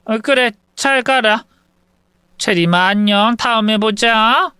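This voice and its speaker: A man voicing an older woman, a soft-spoken, doting mother-in-law. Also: high-pitched, takes it slowly, clean tone, no echo, clean studio sound, voice pushed up, exaggerated voice.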